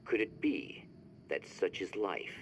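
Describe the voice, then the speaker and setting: Ominous anthology-show narrator for a game; very deep, slow and gravelly. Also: male voice, lively intonation, slight background noise, exaggerated voice. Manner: portentous, drawn-out delivery. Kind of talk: video game cutscene acting.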